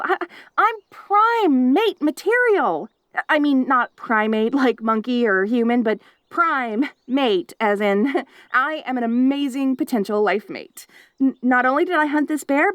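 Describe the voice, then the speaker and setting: High-pitched young wolf monster girl, perky, clingy, giggly, stammering rambles, eager, close dry audio roleplay. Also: fairly bright tone, dry recording. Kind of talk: audio roleplay addressed to the listener.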